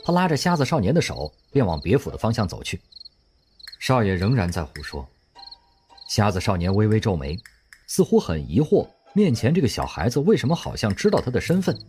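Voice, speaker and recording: A man narrating an audio drama in an expressive storytelling manner. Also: medium pitch, fast talker, a little hiss or hum.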